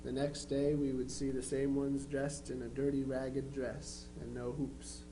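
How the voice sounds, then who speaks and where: A man playing a plainspoken twenty-three-year-old Union soldier, reading his affectionate letters home in an unhurried, matter-of-fact way. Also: medium pitch, little pitch movement, dark tone, lightly acted. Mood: reflective, matter-of-fact. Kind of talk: dramatized reading of historical letters. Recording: faintly noisy live recording with hum.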